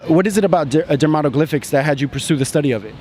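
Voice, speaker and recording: A man's voice: fairly high pitch, very fast. A man interviewing a guest outdoors in a city square, asking questions with many "uh"s and "um"s. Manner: hesitant, filler-heavy questions. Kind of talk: casual interview. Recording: faint street noise behind.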